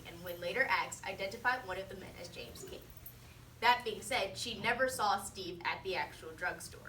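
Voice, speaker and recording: A woman's voice: medium pitch, pushed and bright. A teenage girl giving a prepared persuasive student speech, repeating phrases for rhetorical emphasis. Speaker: student presenting a mock defense speech. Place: indoor classroom. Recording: roomy, distant mic, faint noise.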